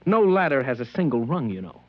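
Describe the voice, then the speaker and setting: A man's voice: mid-range voice, fairly quick, no echo, lightly acted. A man playing a stern, dogmatic customs agent in an old-time radio drama. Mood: coldly doctrinaire. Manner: flat, dogmatic, deadly serious. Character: stern, doctrinaire male customs agent of a collectivist regime. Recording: muffled old radio recording.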